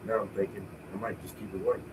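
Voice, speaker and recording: A man's voice: fairly low pitch, flat delivery, fast talker, distant mic, background noise. Laid-back man rambling while painting. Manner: laid-back, rambling, thinking aloud, swears casually. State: congested and tired from a cold. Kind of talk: casual talk to himself while painting.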